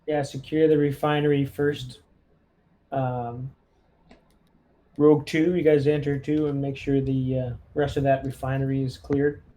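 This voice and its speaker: A man's voice: medium pitch, level tone, unhurried, warm tone. A man playing a tabletop role-playing game, casually directing the action as a player.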